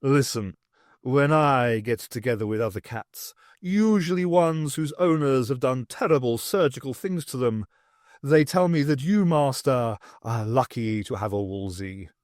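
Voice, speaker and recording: A man voicing a jaunty, flattering pet cat in an audiobook, with theatrical, old-fashioned pantomime phrasing. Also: medium pitch, measured pace, dry recording, clean studio sound, close to an everyday voice. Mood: hearty, boastful.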